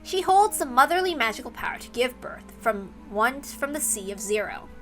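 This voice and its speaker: A woman's voice: sits high, very animated, moderate pace, fairly bright tone. A woman streaming a read-aloud of a visual novel, informal in the way she reads and comments. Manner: chatty, informal between passages. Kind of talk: read-aloud narration with casual asides. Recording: music behind the voice.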